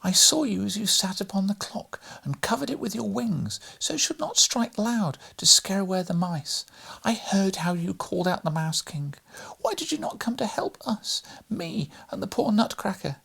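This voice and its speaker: A narrator as a high-pitched, plaintive young girl. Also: sing-song, normal speed, vocal fry, fairly bright tone, breathy edge, full character voice. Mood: upset, accusing. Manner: plaintive, scolding. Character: sick young girl, upset and accusing.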